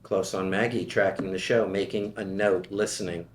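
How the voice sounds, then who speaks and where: A man reading the stage directions at a table read of a screenplay, in a measured, even way. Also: low-pitched, reverberant.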